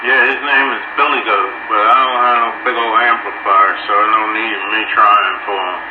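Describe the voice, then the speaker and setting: A man's voice: on the low side, fairly even intonation, moderate pace. A man chatting casually over the radio in a folksy, colloquial way. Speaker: radio hobbyist. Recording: echoey muffled radio transmission, heavy noise.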